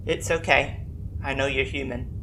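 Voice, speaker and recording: An actor playing a calm, empathetic alien colony doctor in a science-fiction audio drama, speaking in a gentle, reassuring way. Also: medium pitch, moderate pace, slight background noise, natural voice, low-quality recording.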